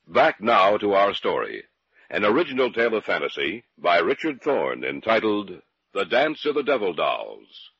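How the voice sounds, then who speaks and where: An old-time radio horror announcer with a low, husky voice. He swoops portentously through his phrases in a dry but dulled vintage broadcast recording. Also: big pitch swings, fairly bright tone, no room sound, highs cut off, exaggerated voice. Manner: slow, portentous. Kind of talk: 1940s-50s radio announcing.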